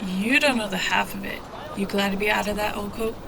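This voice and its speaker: A woman's voice: medium pitch, fairly even intonation, fairly quick, gravelly, background noise, natural voice. A woman playing a brash, sly smuggler and streetwise guide in an audio drama, talking in slangy, taunting, irreverent lines. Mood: teasing.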